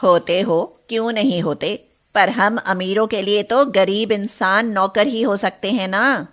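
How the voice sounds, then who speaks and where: A woman's voice: medium pitch, unhurried, fairly bright tone, natural voice. A woman voicing a condescending, middle-aged rich lady of the house, mocking and patronizing, in a story.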